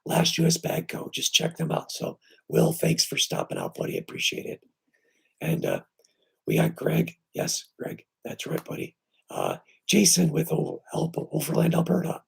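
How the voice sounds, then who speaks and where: A man with weak, breathy and raspy vocal cords, hoarse and short of breath, hosting a casual live stream from home on his computer and talking haltingly with many fillers. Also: a bass voice. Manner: halting, with many fillers and restarts, lively when greeting viewers. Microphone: computer microphone.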